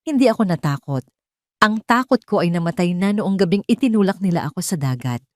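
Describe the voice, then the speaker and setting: A woman telling a first-person story as a scripted drama, with an emotive and deliberate delivery. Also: lowish voice, expressive, darkish tone, no room sound, studio quality. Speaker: audio story narrator.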